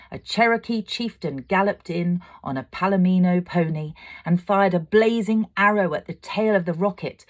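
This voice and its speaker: A woman narrating a children's storybook aloud, with expressive, dramatic delivery. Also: lowish voice. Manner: expressive, dramatic, swelling for excitement and hushing for suspense. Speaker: amateur storyteller.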